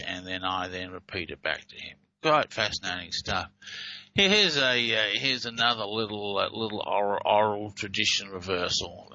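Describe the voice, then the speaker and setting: A man talks through recorded clips in a loose, halting way, with frequent "uh"s and restarts. His voice is bright and forceful. The sound is dry, close and slightly dull. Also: lowish voice, no room sound. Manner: hesitant, many fillers and restarts. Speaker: presenter explaining speech reversal recordings. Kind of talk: casual explanatory talk over played clips.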